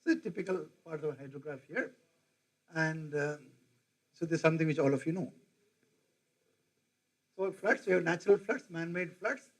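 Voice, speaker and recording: A man's voice: fairly high pitch, normal speed, pauses often, rough recording. An older man with an Indian English accent giving a rambling lecture to teachers, often asking his audience questions. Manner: digressive, asks the audience questions. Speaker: professor. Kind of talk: lecture to faculty.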